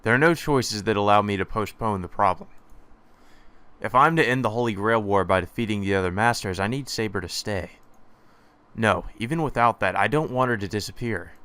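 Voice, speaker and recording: A man's voice: lowish voice, a little fast, pushed and bright, clear, resonant tone. A man reading a visual novel aloud in first person as the hero, with sarcastic asides of his own. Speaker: let's play narrator.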